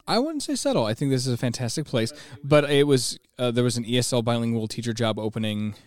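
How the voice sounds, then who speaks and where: A man's voice: medium pitch, lively intonation, quick pace. A man in his twenties with an American accent, giving enthusiastic, explanatory answers in a conversational interview as a teacher. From United States. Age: 20s. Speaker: ESL teacher, interview guest.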